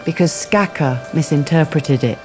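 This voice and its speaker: A wry female storyteller voiced by an actress for a radio crime drama. Her voice is low for a woman and her delivery is measured and scripted. Music plays clearly beneath her. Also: close to an everyday voice, rough recording.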